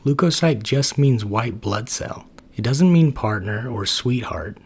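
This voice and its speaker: A man's voice: mid-range voice, lively intonation, measured pace, a little mellow, breathy edge. A man voicing a tired, exasperated male helper T cell who keeps explaining things to his roommate.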